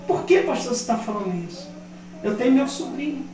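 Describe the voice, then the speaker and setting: Fifty-something pastor preaching in an echoing church. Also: male voice, very high voice, animated, fairly quick. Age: 50s. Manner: impassioned preaching, rising loud on key points. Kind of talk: sermon. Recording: echoey church hall, mic at a distance. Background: congregation responding.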